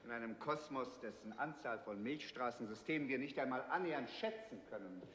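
An actor playing an older scientific adviser, articulate and dryly ironic, in a 1960s science fiction TV drama. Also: male voice, mid-range voice, expressive, brisk, a bit bright, close to an everyday voice. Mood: dryly critical. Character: calm, ironic older scientific adviser opposing military action. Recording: reverberant set, faint noise.

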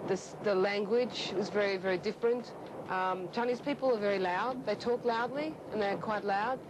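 A woman's voice: medium pitch, moderate pace. A young adult woman, chatting casually and reflectively. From Australia, grew up in Melbourne. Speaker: interviewed train passenger, actor. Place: inside a moving train carriage. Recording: clear train noise behind.

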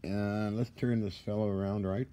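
A man giving a casual, hands-on walkthrough of equipment in a halting, muttering way with folksy asides, recorded on a handheld device in a workshop. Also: fairly low pitch, fairly even intonation, mellow. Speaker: radio restorer. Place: indoor cluttered workshop. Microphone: handheld camera or phone, behind the lens. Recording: noisy handheld recording with room ambience.

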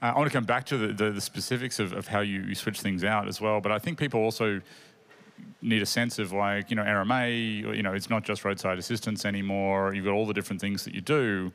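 A man's voice: lowish voice, steady tone, fast, a bit bright. A man in his forties hosting a conference stage panel on a handheld mic, talking in an eager, chatty way with many restarts. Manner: chatty, restarts and fillers, enthusiastic. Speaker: panel host. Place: large conference stage before an audience.

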